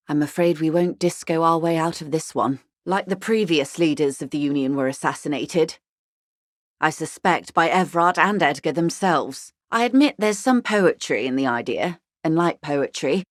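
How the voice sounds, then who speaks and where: A woman's voice: deep voice, no room sound, clean studio sound. A woman playing a composed, weary and sardonic corporate negotiator in a video game, speaking in formal, articulate, dryly ironic dialogue. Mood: grave, dry. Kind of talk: dramatic game dialogue, measured and literate.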